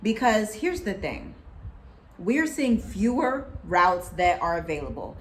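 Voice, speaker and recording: An American woman co-hosting a casual chat, talking in a lively, preachy way with scripture references. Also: medium pitch, takes it slowly, a little hiss or hum. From United States. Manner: animated, preachy, quotes people in put-on voices. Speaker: co-host.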